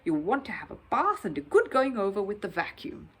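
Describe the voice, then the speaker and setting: A woman's voice: medium pitch, wildly swooping pitch, breathy edge. Woman playing a stern, no-nonsense housekeeper. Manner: brisk, firm, admonishing.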